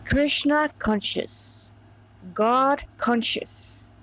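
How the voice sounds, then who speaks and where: A woman's voice: high-pitched, very slow, a bit bright. A woman from Montreal reading a story aloud in an informal, rambling way, often breaking off for chatty asides. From Montreal, Canada. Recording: dull lo-fi recording.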